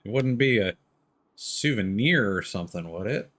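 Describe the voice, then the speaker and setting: A man's voice: fairly low pitch, expressive, moderate pace, fairly bright tone, a little hiss or hum. A man streaming a video game with casual live commentary and dryly playful asides.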